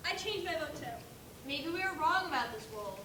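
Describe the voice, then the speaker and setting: A woman's voice: high-pitched, animated, fairly quick. A young female performer playing a know-it-all pig juror in a pert, matter-of-fact way in a stage play. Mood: wavering. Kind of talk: amateur stage play acting. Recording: distant echoey stage recording, faint noise.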